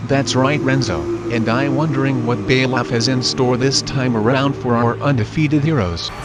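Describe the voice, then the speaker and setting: A man's voice: medium pitch, steady tone, normal speed, lightly acted. A man playing a co-commentator at fantasy arena fights, in a sports broadcast style. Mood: commenting. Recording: echoey hall, loud music.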